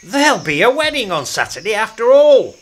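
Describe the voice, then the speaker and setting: An amateur actor playing a defiant, jaunty heroic outlaw, declaiming in a comic radio play. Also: male voice, high voice, sing-song, fairly quick.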